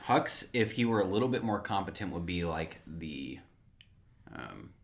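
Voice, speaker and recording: A man's voice: sits low, very animated, moderate pace, creaky. A man co-hosting a podcast in casual chat, with dry wisecracks. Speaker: podcast co-host.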